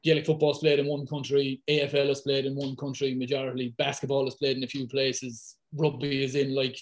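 A man in his thirties with a thick Irish accent, talking as a guest in a casual podcast conversation, lively and full of fillers. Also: mid-range voice. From west of Ireland. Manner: fast, lively talker, many fillers. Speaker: guest, football fitness coach.

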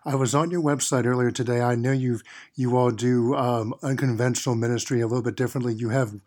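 A man's voice: mid-range voice, steady tone, quick pace, darkish tone. A man hosting a radio interview, asking questions and giving brief affirming interjections. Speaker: radio host.

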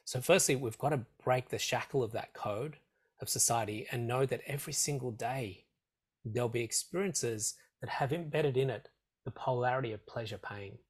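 A man with a slightly croaky throat, a meditation teacher giving earnest, measured answers in an interview and repeating phrases for emphasis. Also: medium pitch. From Australia.

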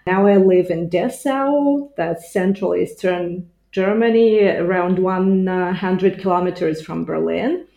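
A woman's voice: on the low side, slow, gravelly, few pauses, dark tone, reverberant. A woman from Ukraine with a Ukrainian accent, giving casual interview answers as a podcast guest. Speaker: podcast guest, language teacher.

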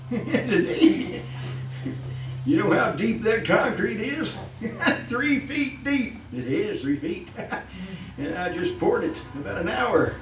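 A man's voice: high voice, reverberant, far from the mic, full character voice, poor audio. A man playing a fussy, murderous master of the house in a 1930s-style radio horror play, with a stammering, repetitive, chuckling delivery. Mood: gloating, menacing. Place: live stage performance in a room.

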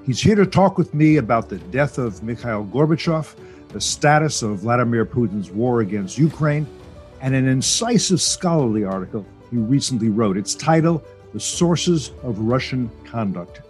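A man's voice: medium pitch, animated, moderate pace, a little mellow, faint music behind. A male radio host interviewing a guest in a folksy, wry manner. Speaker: radio talk show host. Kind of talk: broadcast interview.

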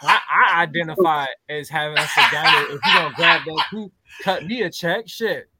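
A man's voice: fairly high pitch, moderate pace, very noisy. A man talking brashly in casual podcast banter, with heavy slang and swearing. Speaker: podcast panelist.

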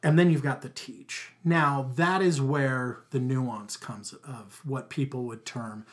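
A middle-aged man with a loud voice, talking emphatically in a casual podcast conversation and repeating his key words. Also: mid-range voice, fairly even intonation, slow. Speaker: podcast guest, father.

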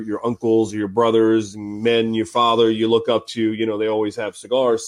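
Chatty man, slightly raspy from a cold. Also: fairly low pitch. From Cincinnati, Ohio, US. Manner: relaxed, chatty storyteller with frequent fillers. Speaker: cigar industry guest. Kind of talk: casual podcast interview.

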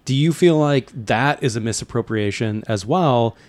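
A man's voice: medium pitch, moderate pace. An American man co-hosting a casual conversation, phrasing his questions carefully and a little tentatively. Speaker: co-host asking questions.

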